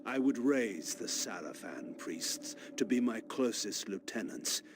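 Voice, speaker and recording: An actor playing a proud, brooding vampire lord, narrating with solemn, grandiose gothic theatricality in a gravelly video game voice. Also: male voice, lowish voice, animated, a little slow, background noise. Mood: grim, resolute. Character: proud, brooding male vampire lord recounting his fate.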